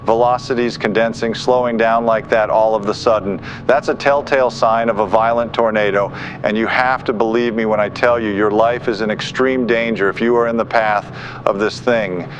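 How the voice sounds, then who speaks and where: A man's voice: on the low side, steady tone, moderate pace. A man giving urgent live tornado coverage as a weather forecaster, grave, insistent and speaking off the cuff. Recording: dull muffled audio with faint noise.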